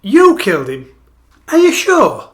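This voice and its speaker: Man playing a high-voiced, questioning doctor. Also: huge pitch swings, a little slow, a bit bright, heavily acted. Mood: incredulous questioning. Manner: questioning, firm. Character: concerned, matter-of-fact male hospital doctor questioning a patient. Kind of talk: radio play acting.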